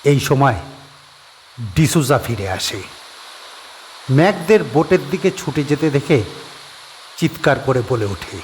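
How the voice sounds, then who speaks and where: A man narrating a horror audio story in a steady, measured storytelling voice. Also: mid-range voice, slight background noise, rough recording.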